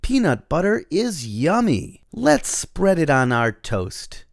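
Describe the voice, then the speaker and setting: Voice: medium pitch, very animated, slow, gravelly, no room sound. A storybook reader narrating a children's tale in the first person as a cheerful rhino.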